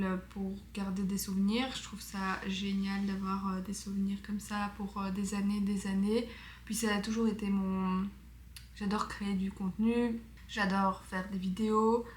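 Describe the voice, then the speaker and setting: A young woman talks through her vlog in an easy, chatty way, full of little fillers and upbeat asides. Her pitch stays fairly level. The sound is roomy and echoey, with a faint hiss underneath. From Belgium. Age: 20s. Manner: chatty, many fillers, enthusiastic. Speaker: vlogger. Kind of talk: casual vlog chat. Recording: echoey room with faint noise.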